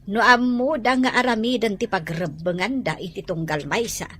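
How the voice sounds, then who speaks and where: A woman's voice: medium pitch, normal speed, a bit bright, breathy edge. A woman playing a practical middle-aged mother of a married son in an audio comedy drama. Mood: matter-of-fact.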